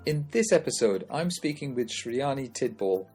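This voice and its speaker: A man hosting a podcast, reading a scripted introduction and asking interview questions in a measured way. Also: mid-range voice, lively intonation, music in the background. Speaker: podcast host.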